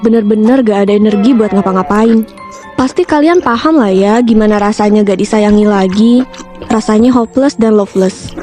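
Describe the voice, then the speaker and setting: A young woman narrating a scripted first-person ghost story in casual slang, chatty and expressive, talking straight to listeners. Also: mid-range voice. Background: background music.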